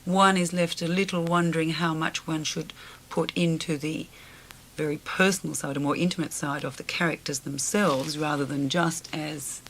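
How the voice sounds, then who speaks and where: Woman with a low voice, opera singer guest, thoughtful and measured, faint hiss of an old 1980s radio broadcast. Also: steady tone, a bit bright. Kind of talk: radio interview chat.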